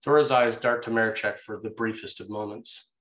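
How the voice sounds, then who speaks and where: A man's voice: lowish voice, steady tone, a little fast, fairly bright tone. A man reading stage directions as the scripted narrator of a screenplay table read. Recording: echoey, roomy sound.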